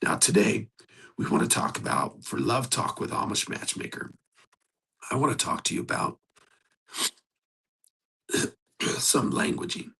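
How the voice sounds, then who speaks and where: A hoarse man hosting a motivational coaching talk, speaking in an earnest, exhorting and conversational way. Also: lowish voice, big pitch swings, measured pace, pauses often, sharp tone. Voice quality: gravelly, husky. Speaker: host.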